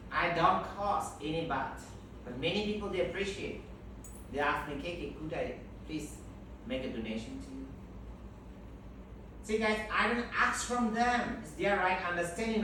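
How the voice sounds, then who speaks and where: A man, a Buddhist monk, teaching a group in slow, emphatic, non-native English and leading them in repeating terms. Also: sits high, fairly bright tone. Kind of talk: teaching a group, call and response. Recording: echoey room, from far off, with noise.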